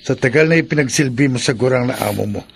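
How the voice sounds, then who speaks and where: A man's voice: mid-range voice, quick pace, vocal fry, a little hiss or hum, close to an everyday voice. A young man's voice, an actor playing a vengeful boyfriend in a radio drama, brooding and threatening. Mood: resentful.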